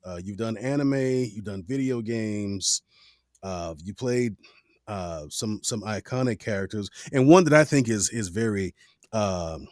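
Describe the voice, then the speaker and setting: A man's voice: fairly low pitch, darkish tone, no echo, studio quality. A man hosting a podcast, asking long, hesitant questions full of fillers in a friendly, casual way. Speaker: podcast host. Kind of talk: casual interview.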